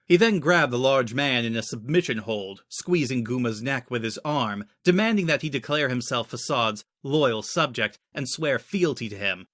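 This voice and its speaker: A man's voice: medium pitch, animated, moderate pace, hardly any pauses, fairly bright tone. A man narrating a story in the style of a dramatic audiobook reading.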